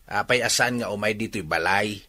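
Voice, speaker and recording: A man's voice: lowish voice, a little fast, sharp tone. A man acting a wary, reluctant older village father with an Ilocano Filipino accent in a radio drama.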